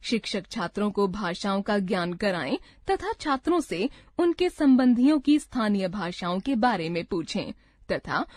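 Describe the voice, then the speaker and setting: A woman narrating a scripted grammar lesson from a textbook, reciting rules and example lists in a measured way. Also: medium pitch, big pitch swings, a little mellow, dry recording.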